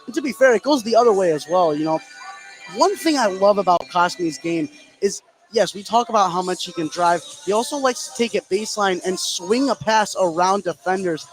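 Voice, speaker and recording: Higher-voiced man giving basketball analysis. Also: expressive, moderate pace, faint music behind, poor audio. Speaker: basketball color commentator. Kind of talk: conversational live sports analysis. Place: indoor gym at a basketball game.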